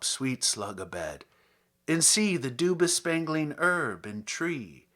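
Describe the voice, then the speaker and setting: A man reading classic poetry aloud in a theatrical, deliberate and expressive manner, as a podcast host. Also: fairly high pitch. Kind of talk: dramatic poetry recitation.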